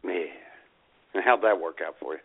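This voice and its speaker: A man's voice: sits low, normal speed. An American man co-hosting a talk show, bantering casually with dry, wry interjections. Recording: tinny, phone-like band-limited sound.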